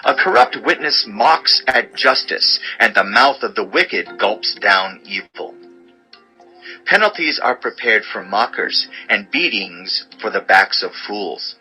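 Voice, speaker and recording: A man narrating an audio Bible as a dramatized scripture reading, with measured, declamatory delivery of short sayings. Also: lowish voice, sing-song, bright, forceful tone, faint music behind, poor audio.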